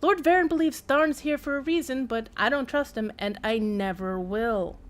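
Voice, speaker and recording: A woman's voice: high-pitched, moderate pace, lightly acted. A woman reading out the game dialogue of an embittered, loyal warrior companion who trusts few. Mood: bitter, distrustful.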